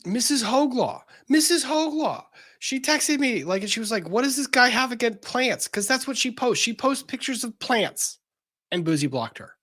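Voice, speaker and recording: A man's voice: extremely high pitch, very animated, moderate pace, bright, forceful tone. A man hosting casual legal commentary in a blunt, exasperated, sometimes profane way.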